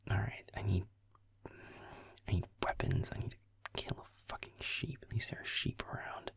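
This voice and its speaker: Sulky young male werewolf gamer played by a man, very low husky half-whisper, sarcastic roleplay muttering. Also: moderate pace, no room sound, exaggerated voice. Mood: annoyed, exasperated. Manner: muttering, swearing, sarcastic, deadpan. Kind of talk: roleplay audio, muttered video game commentary.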